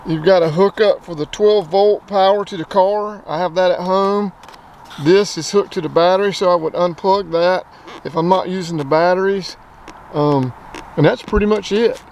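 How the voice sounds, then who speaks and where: High-voiced man reviewing gear. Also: normal speed, a little mellow, a little hiss or hum. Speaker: host of an outdoor gear review channel. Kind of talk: casual vlog product review.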